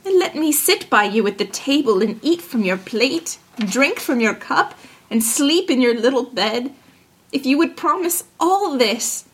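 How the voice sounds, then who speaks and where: A woman voicing an insistent, plaintive male frog in a fairy tale, speaking slowly and drawing out the words. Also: high-pitched, expressive, husky, heavily acted. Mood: slow, coaxing. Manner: slow, drawn-out, deliberate delivery. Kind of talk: fairy tale storybook character voice.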